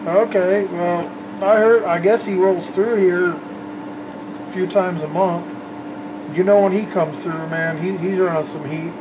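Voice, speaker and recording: Man, fairly high voice, folksy slangy CB radio chat, clear background noise. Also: steady tone, a little slow. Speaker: CB radio operator, channel host.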